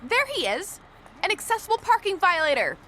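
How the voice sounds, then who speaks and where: An outspoken young rookie guide in a radio play, very high and lively in pitch. The manner is blunt, informal and sardonic, with faint street noise behind. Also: female voice, brisk. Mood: indignant. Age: young adult. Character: feisty, outspoken young wheelchair-using rookie tour guide. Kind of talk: audio drama acting, casual slang.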